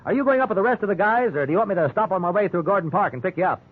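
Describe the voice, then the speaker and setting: Lively, teasing American husband, old radio. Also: male voice, sits high, rapid-fire, breathy edge, phone-quality sound. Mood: upbeat, chummy. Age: middle-aged. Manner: folksy, teasing banter. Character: easygoing middle-aged husband, joking, eager fisherman. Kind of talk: 1950s radio drama acting. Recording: band-limited 1950s radio recording with hiss.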